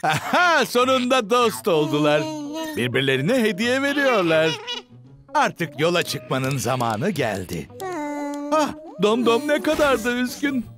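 A narrator giving excited, theatrical commentary on a children's cartoon, full of gasps and exclamations. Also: mid-range voice, measured pace, music in the background.

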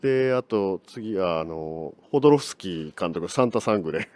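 A middle-aged man, a guest in a festival interview, talking in a quick, casual way. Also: deep voice, lively intonation, darkish tone. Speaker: director guest. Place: small room at a film festival.

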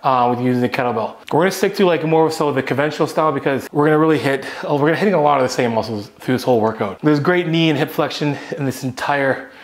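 A man's voice: mid-range voice, fast talker, hardly any pauses. A man in his thirties talking casually through a home workout, explaining each exercise as he goes in a small indoor gym. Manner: relaxed, explanatory, talks while exercising. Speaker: fitness coach.

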